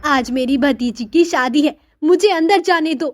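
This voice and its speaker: Casting: female actor for meek, devoted poor sister, high-pitched, pleading, cartoon morality tale. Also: unhurried. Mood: determined. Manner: earnest, pleading, deferential. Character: humble, devoted poor sister in old clothes. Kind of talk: Hindi moral-story cartoon dialogue.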